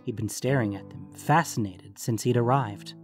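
A man's voice: medium pitch, normal speed, faint music behind. A man reading scripted fantasy story narration as the narrator.

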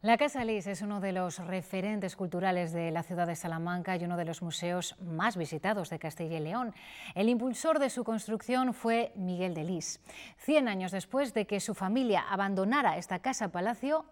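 A woman in her thirties presenting the news in a TV studio, reading items in a clear, steady way. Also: medium pitch, normal speed. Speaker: news anchor.

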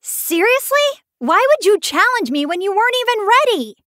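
A woman's voice: very high-pitched, very animated, moderate pace, fairly bright tone, dry recording, clean studio sound, exaggerated voice. An American actress voicing a feisty, confident teenage girl martial artist with brash, emphatic delivery for an anime fighting game. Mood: scolding, upbeat.

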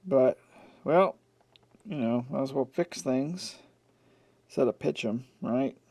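A man's voice: mid-range voice, slow, husky, dry recording. A man giving offhand, casual repair commentary, half talking to himself as he works with his hands. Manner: offhand, muttering to himself while working. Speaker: host of an electronics repair channel. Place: indoor workbench. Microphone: camcorder mic near the workbench. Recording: steady background noise, clicks of handling.

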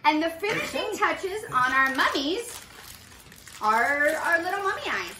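A woman hosts a kids' cooking class in a high, very lively voice. She talks playfully to a toddler in a sing-song way, recorded in an echoey room. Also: a little slow, over a noisy crowd. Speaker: preschool teacher hosting a children's cooking class. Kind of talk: child-directed cooking demonstration. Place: small indoor playroom kitchen set. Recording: echoey room with some background noise.

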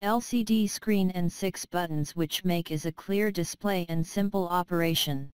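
A woman's voice: lowish voice, normal speed, clean tone, dry recording. A woman reading a scripted product narration, with careful, non-native English phrasing. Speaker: product presenter.

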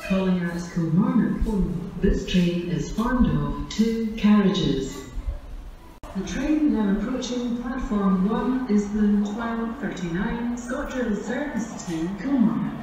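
Echoing female station announcer, slow and distant. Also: mid-range voice, animated, dull audio. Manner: formal, clipped, segmented phrasing. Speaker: automated railway station announcer. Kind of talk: stitched public-address train announcements. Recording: distant, very echoey, noisy station PA recording.

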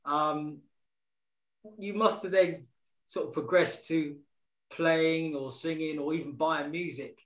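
A middle-aged man who hosts a radio show, asking questions in a halting, repetitive way over a video call from home. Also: on the high side, steady tone, fairly bright tone. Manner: hesitant, repeats words as he asks questions. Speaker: radio DJ and host. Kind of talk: casual interview chat. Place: home room, indoors. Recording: echoey room on a video call.

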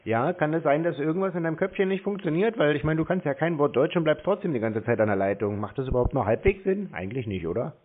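Sarcastic higher-voiced man on a tinny phone. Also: expressive, quick pace, hardly any pauses. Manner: brusque, sarcastic, mocking. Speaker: scambaiting host taking scam calls. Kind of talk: teasing, confrontational phone banter. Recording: tinny, band-limited phone line.

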